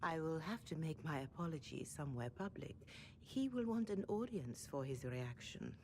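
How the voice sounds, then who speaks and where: Senior Chantry mother for a game cutscene: devout, measured, deferential, with lively swings in pitch. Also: slight background noise. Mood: earnest, candid. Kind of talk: video game cutscene acting.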